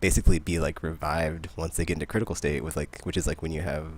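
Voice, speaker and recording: A man hosting a podcast, chatting about games in a rambling, excitable way. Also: extremely low pitch, fast talker, background noise. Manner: rambling, excitable, laughs often. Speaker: podcast host. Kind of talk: casual gaming chat.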